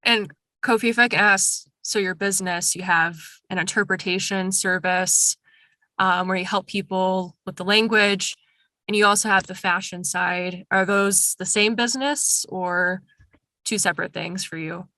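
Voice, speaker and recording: A woman's voice: mid-range voice, fairly even intonation, moderate pace, pushed and bright, dry recording. A woman interviewing a guest, asking follow-up questions and giving short encouraging responses.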